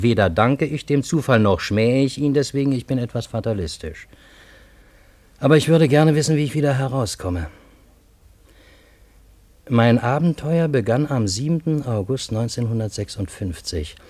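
An actor playing a wry, reflective man who narrates his own story in a radio play, with measured, literary phrasing. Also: fairly low pitch, a little mellow, dry recording, lightly acted. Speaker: first-person narrator.

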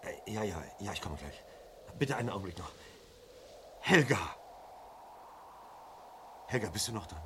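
An actor playing a desperate chief inspector and protective husband in a 1970s German radio crime drama, speaking with anguished intensity. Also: male voice, on the low side, animated, measured pace, long pauses. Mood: frantic, worried. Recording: noisy 1970s radio play recording.

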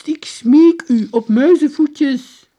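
A man voicing a strange old-fashioned soldier in a storybook, speaking slowly in formal, pleading, courtly language. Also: extremely high pitch, mellow, voice pushed up. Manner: slow, archaic, courtly speech. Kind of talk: storybook character voice.

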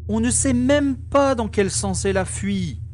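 A man's voice: very high voice, a little slow, darkish tone, slight background noise. A man playing a level-headed starship pilot in an audio drama, speaking in a firm, matter-of-fact way. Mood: exasperated.

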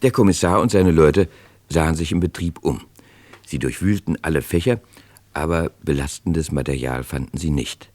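A man narrating a true crime radio play as a sober storyteller, scripted and measured, building suspense. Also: very deep voice, a little mellow, full character voice.

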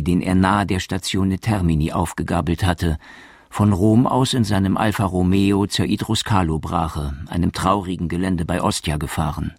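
Male documentary narrator, deep and husky, matter-of-fact scripted read in a dry studio sound. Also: a little mellow, dry recording.

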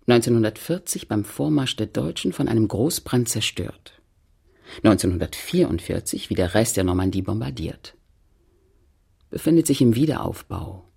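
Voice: medium pitch, vocal fry, breathy edge, full character voice. An actor playing a terse, factual narrator of a post-war French town in a literary radio play, with clipped statements.

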